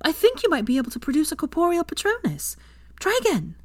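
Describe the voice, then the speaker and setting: A woman voicing an eager, bookish young witch, speaking high and gushing with encouragement. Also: huge pitch swings, fast talker, no echo. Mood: encouraging.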